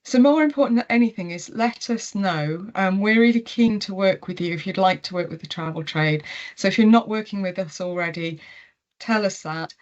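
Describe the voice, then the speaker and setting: A woman giving a webinar presentation on a video call, steady and pausing with frequent ums. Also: on the low side, lo-fi sound. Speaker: tourism marketing presenter.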